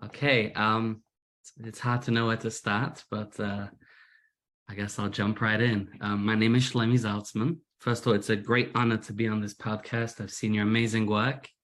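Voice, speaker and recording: A man's voice: on the low side, level tone, moderate pace, a bit bright. A man from England with a Manchester accent gone a little American, a musician chatting as a guest in a casual interview, self-deprecating and often repeating words as he talks. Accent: Manchester English, slightly Americanized.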